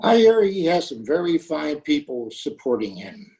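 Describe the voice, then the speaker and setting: Creaky, mild old shopkeeper. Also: male voice, on the high side, big pitch swings, dark tone. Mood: noncommittal. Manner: drawn-out, hesitant, evasively polite. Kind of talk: old-time radio comedy acting. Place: home, recorded over video call. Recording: roomy, dull video-call recording.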